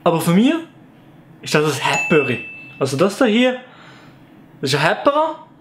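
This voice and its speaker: A young man from Fribourg speaks in a lively, playful way with a Sensler Swiss German accent. His voice sits high. He talks to the camera at home, with a faint hiss in the background. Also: normal speed. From Canton of Fribourg, Switzerland. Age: young adult. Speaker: YouTuber. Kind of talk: casual dialect explainer.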